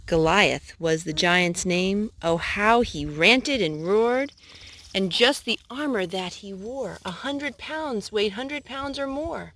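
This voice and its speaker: A woman reading picture books aloud for children's storytime in an expressive, deliberate way. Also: fairly high pitch.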